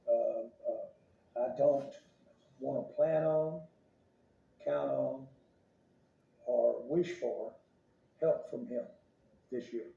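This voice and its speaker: Gravelly, halting man at a press conference. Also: mid-range voice, lo-fi sound. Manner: halting, many hesitations. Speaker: team executive. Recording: echoey archive clip with music over it.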